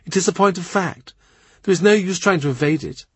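A male narrator voicing a blunt, outspoken court lady in an audiobook, his voice raised and a little louder. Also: high voice, fairly quick, slightly rough, dry recording, pitched above the performer's own voice.

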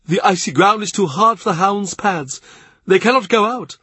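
A man's voice: sits high, moderate pace, pushed and bright, husky, dry recording, pitched above the performer's own voice, full character voice. A man voicing a proud, ailing old cardinal who is amused and dismissive.

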